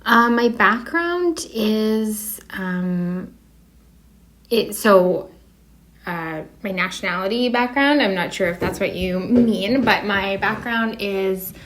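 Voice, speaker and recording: A woman's voice: medium pitch, slow pace, a bit bright. A woman with a Canadian accent giving casual, unscripted interview answers, a bit hesitant as she thinks aloud. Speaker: artist being interviewed.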